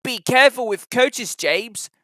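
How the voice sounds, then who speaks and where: A storyteller acts out a sensible older male steam engine in a children's storybook. The voice is bright and animated, giving kindly advice like a mentor. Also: medium pitch, unhurried, no echo, voice pushed up. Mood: cautioning. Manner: advising, puffing when working.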